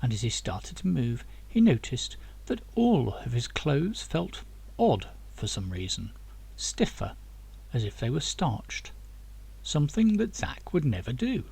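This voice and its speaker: A man's voice: medium pitch, big pitch swings, measured pace, creaky, darkish tone, husky. A man narrating a fiction audiobook as the author reading his own novel.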